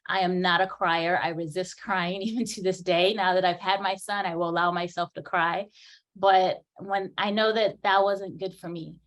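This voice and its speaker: Earnest, animated woman hygienist speaking. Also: on the low side, moderate pace. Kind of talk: conference talk.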